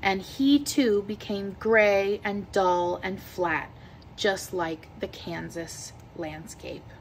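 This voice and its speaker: A woman reading a children's picture book aloud with expressive, deliberate storytelling delivery. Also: mid-range voice, slight background noise, rough recording. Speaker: read-aloud teacher.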